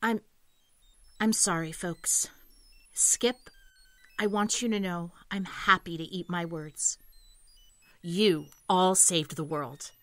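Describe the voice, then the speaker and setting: An actress playing an earnest section chief of agents in an audio comedy, measured and sincere. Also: female voice, lowish voice, steady tone. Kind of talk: audio comedy drama acting.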